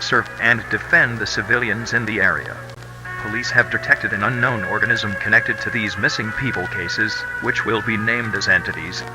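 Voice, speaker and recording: Man acting as low-voiced emergency broadcast announcer. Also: natural voice, poor audio. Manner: formal, measured. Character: formal male emergency broadcast announcer warning of monsters.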